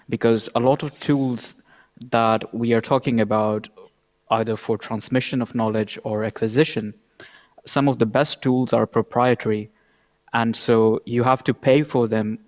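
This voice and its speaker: Male panelist in long reverb. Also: mid-range voice. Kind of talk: considered panel remarks.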